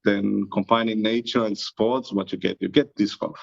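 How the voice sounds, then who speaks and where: A man's voice: fairly low pitch, steady tone, normal speed, gravelly. A man with an Estonian accent, answering interview questions in an easygoing, modest way. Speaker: guest, disc golf YouTuber. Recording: muffled lo-fi audio.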